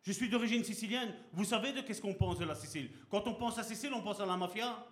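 A man's voice: sits high, fast, a bit bright. A man preaching a sermon as pastor, speaking with passion and throwing rhetorical questions at his congregation. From Belgium. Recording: echoey hall.

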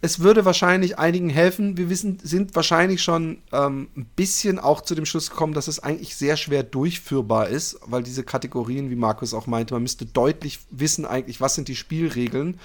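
Higher-voiced man in casual, emphatic podcast chat. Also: brisk, few pauses. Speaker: podcast host.

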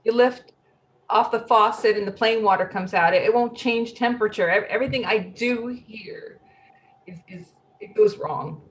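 A woman playing an anxious, slightly odd middle-aged woman who speaks haltingly in a play reading. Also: fairly high pitch, lightly acted. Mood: distressed. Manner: halting, repeating words, fretful.

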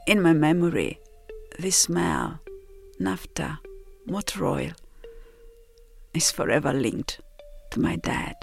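Slow, low-voiced woman telling a story. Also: sing-song, creaky, a little mellow, faint music behind. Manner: reflective, unhurried with deliberate pauses. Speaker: narrator of her own audio documentary. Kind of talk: scripted first-person storytelling.

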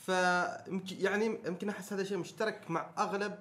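Omani male podcast co-host, slightly high pitch, rapid-fire casual discussion. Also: steady tone, a bit bright. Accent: Omani Arabic. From Oman. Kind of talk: casual chat and debate.

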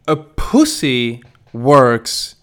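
A man's voice: medium pitch, big pitch swings, very slow, a bit bright, clean tone. Dry-humored male podcast co-host. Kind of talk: casual chat.

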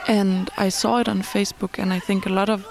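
A woman giving a thoughtful, reflective account, often pausing in mid-sentence. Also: medium pitch, level tone, vocal fry. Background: crowd and ambient noise.

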